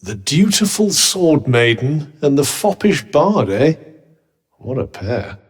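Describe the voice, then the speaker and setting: A sardonic, lilting British magical companion. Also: male voice, mid-range voice, very animated, unhurried, slightly rough, exaggerated voice. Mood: dry, sardonic. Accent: British English. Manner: dry, sarcastic, condescending, theatrical. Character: sardonic, haughty, well-spoken talking magical cuff, male. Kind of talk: video game character dialogue.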